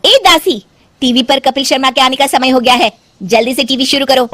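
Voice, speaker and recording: A woman's voice: high voice, brisk, a bit bright, no room sound. A woman voicing a young wife who acts like an imperious, demanding princess in a cartoon story. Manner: haughty, commanding, theatrical. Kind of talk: Hindi cartoon moral story acting.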